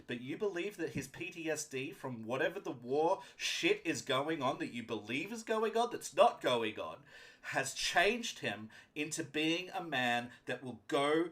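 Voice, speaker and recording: A man's voice: medium pitch, fairly bright tone. A man narrating a live tabletop roleplaying game as game master, speaking emphatically and often checking in with his players.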